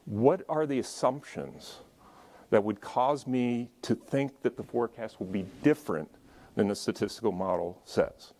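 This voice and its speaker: A man with a deep voice giving a conversational lecture at a symposium, adding joking asides to the audience and moving around as he talks. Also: a little slow, darkish tone. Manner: moves around while speaking. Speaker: conference speaker. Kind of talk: conference lecture.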